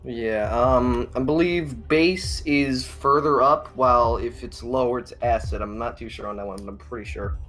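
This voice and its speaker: A young man playing as a quiz contestant, thinking out loud hesitantly with fillers. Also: mid-range voice, moderate pace, few pauses, soft background music. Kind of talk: casual chat.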